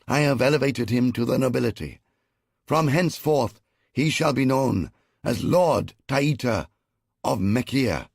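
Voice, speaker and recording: A commanding pharaoh, as a male audiobook narrator puts him on, lifting his voice higher to address his court. His delivery is grand and ceremonious, strong and clear enough to carry across a great tent. The voice still keeps a gravelly edge, set in a dry, close recording. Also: animated, measured pace, dry recording, heavily acted. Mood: regal. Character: commanding male Egyptian pharaoh, regal ruler.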